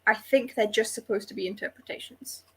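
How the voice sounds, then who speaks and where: A woman's voice: medium pitch, fairly even intonation, a little fast, husky. A woman chatting casually about books in a blunt, jokey, sweary way. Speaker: readalong panelist. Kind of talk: casual book discussion, banter.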